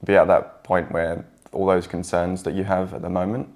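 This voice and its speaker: Low gravelly Englishman, relaxed. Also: normal speed. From England. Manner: relaxed, hesitant, many fillers. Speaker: guest partner. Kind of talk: casual chat.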